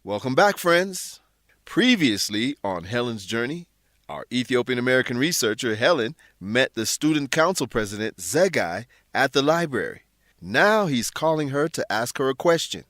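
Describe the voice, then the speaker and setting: A man hosting a radio drama for English learners, narrating from a script and putting clear questions to the listeners. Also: medium pitch, very animated, moderate pace, a bit bright, no echo, clean studio sound.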